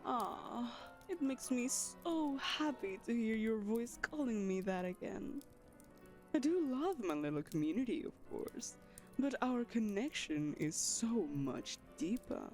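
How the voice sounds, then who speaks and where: Ancient, vain vampire mistress played by a woman: unhurried, highly animated, sugary and condescending, audio roleplay over faint music. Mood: smitten. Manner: syrupy, condescending, theatrical. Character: ancient female vampire mistress, saccharine, possessive, callously vain.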